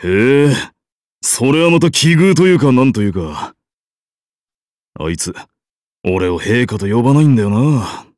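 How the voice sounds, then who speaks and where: A man's voice: mid-range voice, lively intonation, unhurried, pauses often, a little mellow, no room sound, clean studio sound, close to an everyday voice. A man voicing a bold, hearty emperor in a game, with a brash, theatrical and casual way of talking. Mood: pensive. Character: bold, grandiose, hearty emperor and war leader. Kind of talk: game character voice lines.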